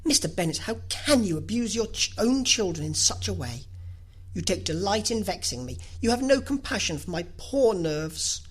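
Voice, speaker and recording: A woman's voice: mid-range voice, animated, moderate pace, slightly rough. A woman reading a storybook as a fussy middle-aged mother, nagging, exclamatory and full of complaint. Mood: vexed, complaining.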